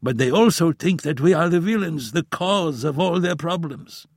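A frail old professor, voiced by a man reading an audiobook, speaks in a raspy, unsteady voice that sits higher than the reader's own. He talks slowly and gravely, with weary bitterness. The sound is husky and dry, close to the mic. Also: expressive, no room sound. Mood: impassioned, grave. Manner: slow, weary, impassioned. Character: frail elderly male professor, embittered and grave. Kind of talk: audiobook character voice.

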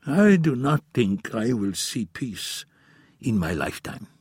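A man voicing a frail elderly male professor in an audiobook, with a raspy, slightly unsteady voice and a slow, grave, weary way of speaking. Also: medium pitch, sing-song, a little mellow, dry recording, clean studio sound. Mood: despairing. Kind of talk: audiobook character voice.

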